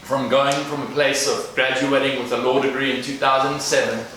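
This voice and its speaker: A man's voice: medium pitch, flat delivery, a little fast, pushed and bright. A man in his thirties with a South African accent, giving an earnest motivational talk to a live audience. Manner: earnest, direct, addresses the crowd. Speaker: guest speaker. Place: large echoey event room. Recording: echoey room, distant mic, faint noise.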